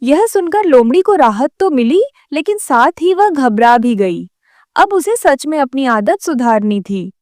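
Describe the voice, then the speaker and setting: A woman's voice: high-pitched, big pitch swings, measured pace, a little mellow, dry recording, clean studio sound. A woman narrating a scripted children's cartoon story.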